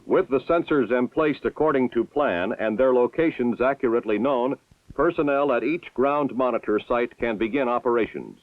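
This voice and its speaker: A man giving formal, measured scripted narration for an old military training film. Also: medium pitch. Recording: tinny lo-fi 1960s film soundtrack.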